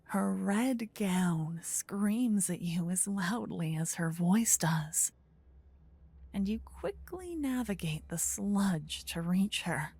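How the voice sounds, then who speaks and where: A woman softly narrating a scripted fantasy ASMR story, breathy and often close to a whisper on a binaural mic. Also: mid-range voice, expressive, slow. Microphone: close binaural microphone.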